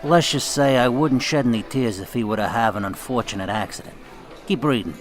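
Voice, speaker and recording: Male actor as mob boss, mid-pitched, sardonic, commanding, quietly menacing, music underneath, radio drama. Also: brisk, natural voice. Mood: sly, sardonic. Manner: dry, understated threats.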